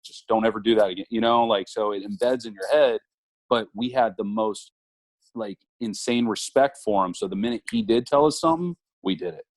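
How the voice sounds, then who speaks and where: A man's voice: fairly low pitch, brisk, darkish tone, no echo, poor audio. A man in his thirties, a college baseball coach, talking earnestly in an interview with many fillers and restarts. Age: 30s. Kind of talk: conversational interview.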